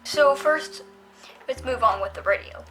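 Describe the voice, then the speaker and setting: High-pitched casual product reviewer, female-sounding. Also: unhurried, pushed and bright, a little hiss or hum, low-quality recording. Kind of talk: casual unscripted product demonstration.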